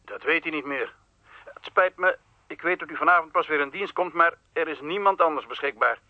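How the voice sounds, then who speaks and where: An actor playing an apologetic, understated police officer heard through a phone filter in a radio crime drama. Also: male voice, fairly high pitch, a little fast, no echo. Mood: apologetic, weary. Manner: deferential, understated. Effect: muffled telephone or radio filter. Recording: dull, band-limited phone voice.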